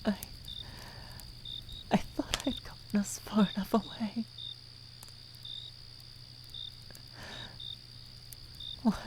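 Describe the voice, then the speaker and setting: A woman playing a centuries-old vampire, anguished, devoted and vengeful, in a dramatic fantasy ASMR roleplay with halting, breathy delivery that often drops into whispers. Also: mid-range voice, steady tone, lightly acted. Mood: anguished guilt. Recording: echoing reverb with noisy cricket ambience. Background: night ambience with crickets and sound effects.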